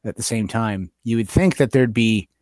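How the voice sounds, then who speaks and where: A man's voice: on the low side, normal speed, darkish tone, no room sound. A man with a Canadian accent co-hosting a casual podcast discussion, thinking aloud somewhat hesitantly. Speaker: podcast co-host.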